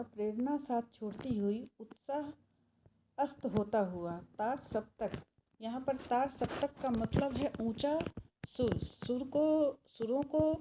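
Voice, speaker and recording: A woman teacher explaining a poem line by line, repeating phrases and pausing often with fillers. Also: on the high side. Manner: slow, repeats phrases, many filler pauses. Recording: dull lo-fi sound with faint noise.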